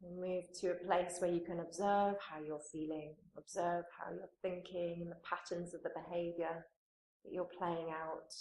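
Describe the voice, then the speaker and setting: Low-voiced woman guiding meditation, roomy echo. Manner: calm, unhurried, soothing, with pauses between phrases. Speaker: yoga teacher leading a guided meditation. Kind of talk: guided meditation, gentle spoken instruction. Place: indoor room, live training course class. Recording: roomy echo, live class recording.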